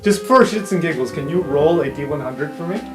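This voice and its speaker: A man's voice: fairly high pitch, animated, brisk, over music. A man hosting and narrating an improvised tabletop roleplaying game in a casual way, as game master. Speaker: game master and podcast host.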